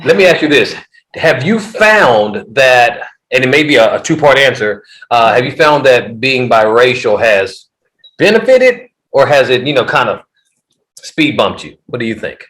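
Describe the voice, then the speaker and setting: Animated forty-something male podcast host. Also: medium pitch, normal speed, rough recording. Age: 40s. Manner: animated, repeats words when starting sentences. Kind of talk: conversational interview.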